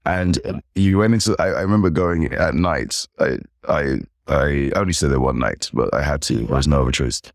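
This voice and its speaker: Very deep, gravelly English man. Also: moderate pace, few pauses, dry recording, studio quality. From United Kingdom. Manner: animated storyteller. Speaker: podcast guest. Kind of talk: casual interview chat.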